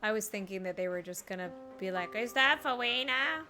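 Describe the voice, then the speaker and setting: A woman's voice: mid-range voice, animated, fairly quick, sharp tone, soft background music. A woman streaming a game, giving casual live commentary with playful, wry asides.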